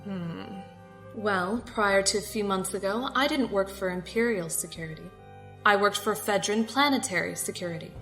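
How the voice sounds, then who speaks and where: A woman acting in an audio drama as a controlled, condescending security captain with a formal, measured manner and polite menace. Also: mid-range voice, a bit bright, faint music behind, lightly acted. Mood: smug, controlled.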